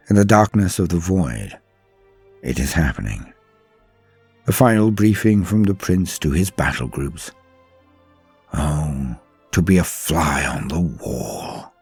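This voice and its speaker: A man narrating a scripted story in a solemn, theatrical manner, with deliberate dramatic pauses. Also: deep voice, darkish tone, breathy edge, dry recording. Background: faint music.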